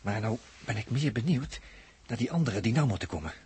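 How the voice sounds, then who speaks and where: A man with a Netherlands Dutch accent acting a shrewd, confident captive spaceman in a 1960s radio drama, speaking deliberately and with emphasis. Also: medium pitch, lively intonation, darkish tone, husky. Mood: intrigued. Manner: deliberate, emphatic, with repeated phrases. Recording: vintage 1960s radio recording.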